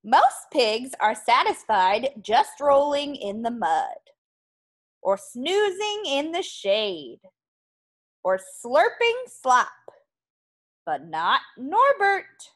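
A woman teacher reading a picture book aloud to young children in an animated, expressive way. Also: sits high, extremely slow.

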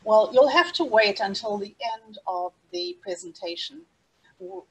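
A woman's voice: mid-range voice, animated, a little slow, breathy edge, poor audio. A middle-aged woman with a South African accent, presenting a webinar over a video call in an earnest, scripted way with small restarts. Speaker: safari travel specialist hosting a webinar.

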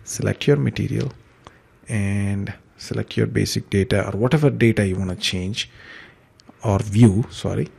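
A man giving an informal step-by-step software tutorial in a conversational, explanatory way, with a gravelly, husky voice. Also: on the low side, moderate pace. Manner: explanatory, conversational, uses examples. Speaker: software trainer, instructor.

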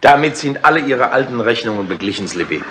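Bright, lively police inspector. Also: male voice, medium pitch, quick pace, slight background noise. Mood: calm, assured. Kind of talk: 1960s German crime radio play acting.

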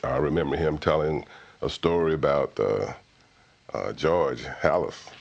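American guy, super deep voice, telling old stories in an interview, bit muffled. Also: animated, measured pace, vocal fry. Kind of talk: interview reminiscence.

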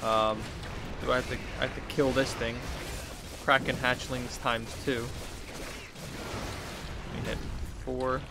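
A man's voice: mid-range voice, flat delivery, a little slow, pauses often. A man in his thirties giving casual, rambling game commentary, talking to himself and reading quest text aloud. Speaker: gaming video host. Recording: clear background noise, game ambience.

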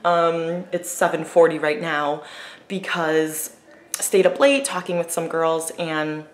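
Young woman vlogger, lowish, hoarse morning voice, casual and chatty, arm's-length camera. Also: a little slow, reverberant. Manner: chatty, laughing, lots of fillers. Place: bedroom in a rented house. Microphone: camera held at arm's length.

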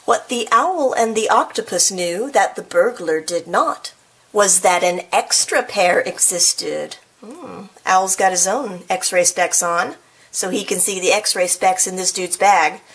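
A woman reading a children's picture book aloud in an expressive, playful way, with casual, chatty asides. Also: mid-range voice, slow, slightly rough. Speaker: storybook reader.

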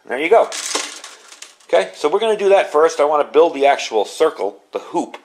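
A man's voice: a little high, sing-song, brisk, slight background noise. A middle-aged man giving a casual, informal hands-on walkthrough, talking as a video host at an indoor workbench. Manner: chatty, informal, explanatory. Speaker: video host demonstrating gear.

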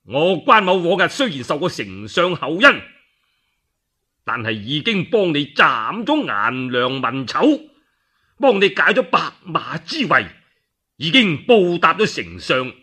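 A man's voice: fairly high pitch, very animated, measured pace, sharp tone, husky, heavily acted. A Cantonese-speaking man voicing an honourable, unbending warrior general in a storytelling radio play, formal and firm. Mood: stern, resolute.

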